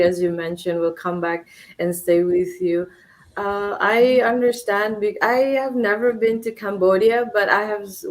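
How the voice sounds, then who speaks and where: A woman from Fiji hosting an online business chat in a formal, slightly hesitant way. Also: on the low side. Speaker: host.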